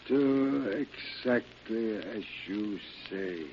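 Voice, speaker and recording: Villain under hypnosis, obedient captain, deep, dragging speech, muffled vintage recording. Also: male voice. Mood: entranced. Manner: slow, trance-like, obedient. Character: entranced male sea captain, obediently echoing orders. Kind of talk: 1940s radio acting. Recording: muffled old radio recording, faint noise.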